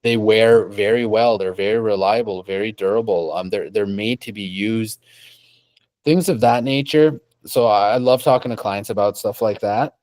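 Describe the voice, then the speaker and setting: A man's voice: on the low side, normal speed, darkish tone, no room sound. A young man from Canada, a rug dealer, answering interview questions casually at his shop desk, often repeating and restarting words.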